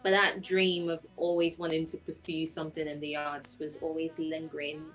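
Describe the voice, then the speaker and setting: Woman, Nigerian accent, slightly low pitch, singer-songwriter, personal storytelling, interview. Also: flat delivery, normal speed, a bit bright, rough recording.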